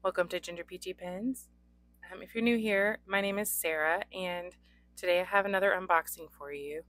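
Hoarse young woman doing a chatty pen unboxing, lots of ums, dry close sound. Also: fairly low pitch, normal speed, a bit bright, dry recording. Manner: chatty, frequent ums, occasional coughs. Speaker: pen reviewer. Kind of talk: unboxing chat. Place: indoors at a desk. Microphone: overhead camera on desk, hands only.

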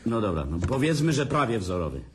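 A man's voice: mid-range voice, very animated, quick pace, background noise, natural voice. An actor playing a boastful, self-pitying businessman who drinks and mocks everyone at a family dinner in a radio drama. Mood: bitter. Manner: sarcastic, theatrical, drinking.

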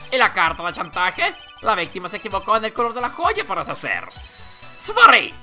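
A man's voice: sits high, huge pitch swings, moderate pace, sharp tone, faint music behind, exaggerated voice. A man voicing a pompous, boastful detective witness in a courtroom game, theatrical and smug.

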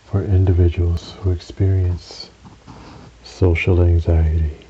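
Very deep, slow male meditation voice. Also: flat delivery, warm tone. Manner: soothing, measured, with long pauses between short phrases. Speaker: guided meditation narrator. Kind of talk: guided relaxation meditation. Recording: dull recording with audible hiss.

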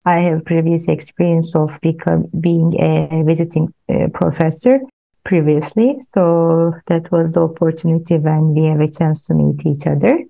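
A woman's voice: deep voice, steady tone, normal speed, gravelly, dark tone, rough recording. A woman in her forties from Turkey, a guest on a video call, introducing herself in a friendly, slightly hesitant way. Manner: friendly, hesitant phrasing with fillers. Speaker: guest, clinical counselor. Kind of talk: casual video call chat.

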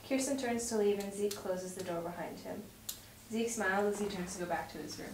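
A woman's voice: medium pitch, brisk. A young woman reading scripted stage directions aloud at a table read. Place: home dining room, around a table. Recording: roomy, distant mic, clear noise.

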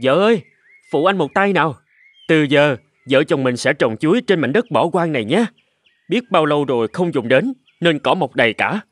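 Earnest, high-pitched cartoon husband. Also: male voice, animated, moderate pace, no echo. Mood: cheerful, earnest. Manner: exclamatory, earnest. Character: eager, gullible husband dreaming of gold, later hardworking. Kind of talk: cartoon voice acting.

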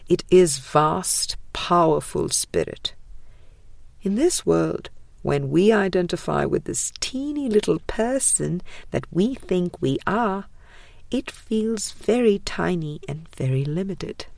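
A woman reading a spiritual book aloud as scripted narration, in a measured, deliberate way with pauses. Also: on the low side, lively intonation, gravelly, darkish tone, dry recording. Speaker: audiobook reader.

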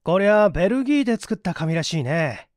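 A man's voice: sits high, big pitch swings, brisk, a little mellow, no room sound, studio quality. A man voicing a composed, analytical detective doctor in an audiobook, precise and polite as he reasons. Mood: probing. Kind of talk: audiobook character reading.

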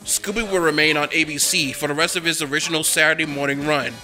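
A man's voice: a little high, steady tone, fast, sharp tone, faint music behind. A man narrating a cartoon history documentary in a scripted, enthusiastic fan's manner. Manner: enthusiastic, fan-like.

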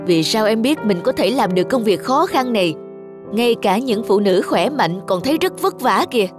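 Protective young husband, animated drama, female performer, agitated delivery with music underneath. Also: a little high, normal speed, close to an everyday voice. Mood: anxious, exasperated. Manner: agitated, exclaiming.

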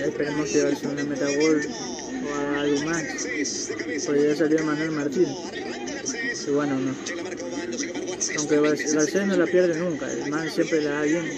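Brief young Ecuadorian fan, chatty match reaction. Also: male voice, a little high. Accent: Ecuadorian Spanish. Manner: chatty, slangy, addresses viewers as pals. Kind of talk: casual live match reaction. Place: small room indoors.

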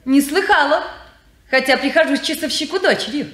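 An actress playing an ironic, teasing young daughter with a sharp tongue, in a 1950s radio drama. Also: female voice, high-pitched, moderate pace. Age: young adult. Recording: echoey old radio studio recording.

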